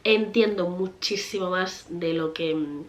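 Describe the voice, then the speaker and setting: A woman's voice: on the low side, unhurried. A woman from Spain in her thirties with a Spanish accent, chatting casually to her viewers and often laughing. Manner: chatty, rambling, many fillers and restarts, laughs often. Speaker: vlogger, mother. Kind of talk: casual chat answering viewer questions.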